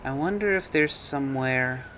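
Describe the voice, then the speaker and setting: A man's voice: mid-range voice, normal speed, noisy background, pitched above the performer's own voice, lightly acted. A man voicing a timid, earnest little boy in a storybook reading, with a hesitant, childlike manner. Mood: earnest, polite.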